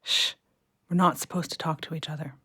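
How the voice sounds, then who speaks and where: An imprisoned teacher in an audio play, weary but defiant. Her voice is low and urgent, guarded, and recorded dry. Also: steady tone, fairly quick, bright, forceful tone, breathy edge, dry recording, exaggerated voice. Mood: hushed, kind.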